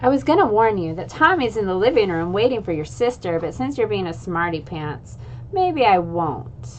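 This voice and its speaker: A woman's voice: mid-range voice, sing-song, normal speed, darkish tone, a little hiss or hum, natural voice, low-quality recording. A woman voicing a caring mother who patiently scolds her son, read aloud from a storybook. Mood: teasing. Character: caring, gently nagging mother of a boy.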